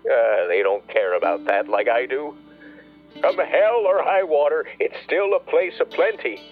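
A grieving, proud farmer in a radio drama. His slightly high voice moves widely in pitch as he speaks slowly and ruefully, with music underneath. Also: phone-quality sound. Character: grieving, bitter rural farmer, proud and nostalgic. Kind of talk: radio play monologue.

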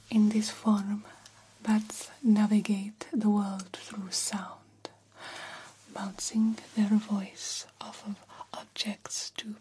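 A woman playing a soothing, formal female vampire doctor in a breathy ASMR whisper, speaking with deliberate, old-fashioned phrasing. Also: medium pitch, warm tone, a little hiss or hum, close to an everyday voice, rough recording. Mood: proud, explaining. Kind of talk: ASMR roleplay.

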